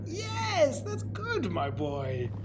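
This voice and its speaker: An actor playing a jolly, kindly fellow passenger in an audio drama, with an avuncular, playful manner. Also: male voice, extremely high pitch, huge pitch swings, drawn-out words, music in the background, full character voice. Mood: amused, kindly. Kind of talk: theatrical audio drama acting.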